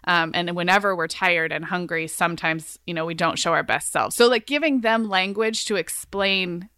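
A woman's voice: on the low side, normal speed, sharp tone, clear, resonant tone, dry recording, studio quality. A woman co-hosting a podcast, speaking in a thoughtful, reflective way about parenting. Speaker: podcast co-host. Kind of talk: casual chat.

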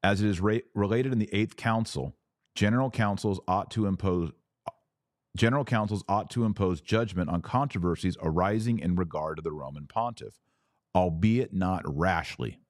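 A man hosting a religious commentary podcast, reading passages aloud and speaking in deliberate, emphatic phrases with rhetorical questions. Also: low-pitched, dry recording, studio quality. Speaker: podcast host and author.

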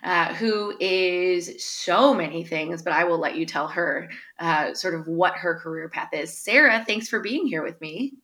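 A woman's voice: lowish voice, a little slow, hardly any pauses, sharp tone. A woman hosting a podcast, giving an upbeat, chatty introduction and interviewing a guest, with many small fillers. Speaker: podcast host. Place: my town.

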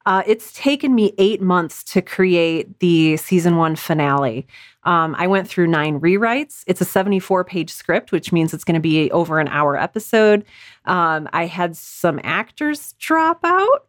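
A woman's voice: lowish voice, expressive, unhurried, dry recording, studio quality. A woman podcast guest talking candidly and casually, explaining her creative process and giving advice in a chatty way. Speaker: interview guest, audio drama podcaster. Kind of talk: casual interview talk, sharing advice.

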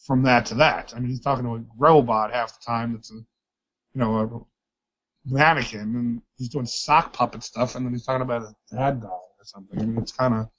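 A hoarse man, a podcast guest, rambling wryly in casual comedy chat. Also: mid-range voice, fairly even intonation, fairly quick, a bit bright. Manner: rambling, wry. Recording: slightly dull lo-fi audio.

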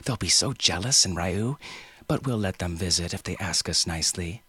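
A man's voice: deep voice, expressive, moderate pace, sharp tone, husky, dry recording, exaggerated voice. A man voicing a cheerful, chattering five-year-old island girl. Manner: eager, breathless chatter.

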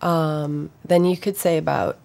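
A woman doctor is a guest on a radio interview, speaking cautiously and qualifying every estimate. Her voice is very low and gravelly. Her delivery stays flat and even. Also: measured pace. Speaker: guest physician.

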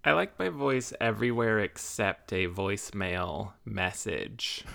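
A man's voice: fairly low pitch, expressive, a little slow, creaky, fairly bright tone. A man co-hosting a podcast, chatting casually in a self-deprecating, rambling way. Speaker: podcast co-host. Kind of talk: casual chat.

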